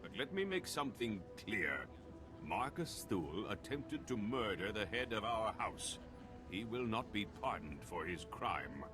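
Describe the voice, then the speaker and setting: A man's voice: on the low side, sing-song, unhurried. An actor playing a haughty, suspicious nobleman in a video game, speaking in a formal, wordy, pompous way. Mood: indignant, cold. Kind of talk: video game cutscene acting. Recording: game soundtrack music behind.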